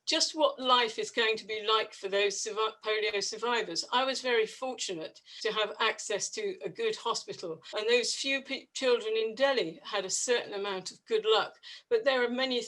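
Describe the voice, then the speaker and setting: An older woman in her sixties or beyond giving a reflective personal account on a webinar, with halting, restarted phrases. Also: fairly high pitch, fairly bright tone, low-quality recording. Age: 60s or older. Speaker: panel guest, polio survivor.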